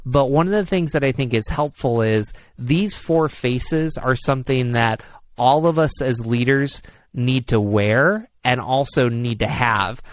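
A man's voice: mid-range voice, no room sound. A man with an Upstate New York accent hosting a podcast interview, rambling a little with fillers. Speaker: podcast host.

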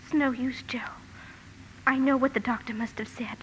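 An actress playing a frail, gentle, sickly young sister in a 1950s radio drama, her voice soft and breathy. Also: high voice, steady tone, normal speed. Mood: resigned, tender. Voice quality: soft, breathy, half-whispered. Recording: reverberant, slightly noisy old radio broadcast.

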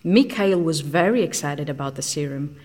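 A woman's voice: sits low, normal speed, a little mellow. An actress playing a frank, socially outcast woman scientist in an audio drama, speaking in a blunt, matter-of-fact way. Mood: dry, frank.

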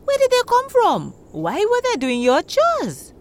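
A woman's voice: fairly low pitch, wildly swooping pitch, moderate pace, pitched below the performer's own voice. A woman playing nosy gossiping village women. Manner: questioning, nosy.

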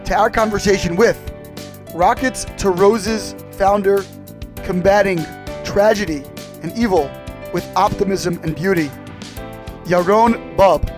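High-voiced male interview host. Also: music in the background. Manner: measured, friendly questioning with occasional fillers. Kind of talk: podcast interview.

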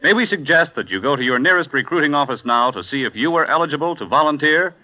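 A man announcing a recruitment message formally and earnestly, his pitch rising and falling a lot. It comes through as a muffled old radio broadcast. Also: expressive, quick pace. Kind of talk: read recruitment advertisement.

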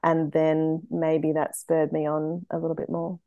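An Australian woman from Queensland, a vet, answering interview questions with many pauses and ums. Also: deep voice, monotone, no room sound, lo-fi sound. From Brisbane, Queensland. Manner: hesitant, many ums, laughs softly. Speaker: guest, veterinarian and runner. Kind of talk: casual interview chat.

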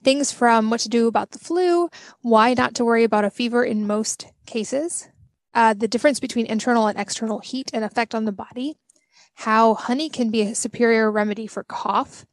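Upbeat woman podcast host, slight fry. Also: on the high side, moderate pace, dry recording. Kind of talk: podcast intro and interview.

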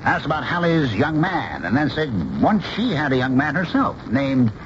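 A man's voice: medium pitch, very animated, normal speed, lightly acted. An actor playing a genial, teasing middle-aged painter and father in a 1970s radio drama. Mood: wry, fond. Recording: noisy, dull old radio broadcast.

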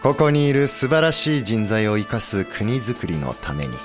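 A man acting as an idealistic samurai commander-in-chief in a period audio drama, earnest, gentle and resolute. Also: lowish voice, very animated, normal speed, soft background music. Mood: quietly determined.

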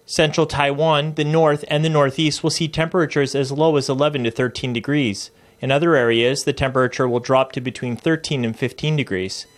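A man's voice: medium pitch, fairly even intonation, brisk. A man giving a weather forecast in a matter-of-fact way for a news interview. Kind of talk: interview sound bite.

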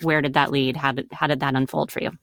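A woman's voice: very deep voice, level tone, fast. A woman interviewing a guest, asking friendly, probing questions.

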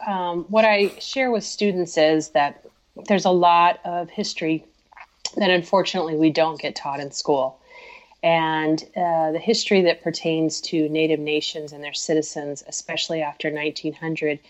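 A woman's voice: low-pitched, steady tone, moderate pace. A woman from Oklahoma, an author who is a guest on a podcast, explaining things in the way she would for students. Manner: warm, explanatory, like speaking to students. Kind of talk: podcast interview. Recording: dull lo-fi sound.

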